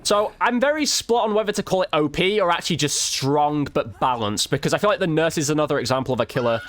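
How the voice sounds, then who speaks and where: A man's voice: on the high side, expressive, fast, fairly bright tone. A man commentating casually over gameplay as a content creator, chatting informally and thinking aloud.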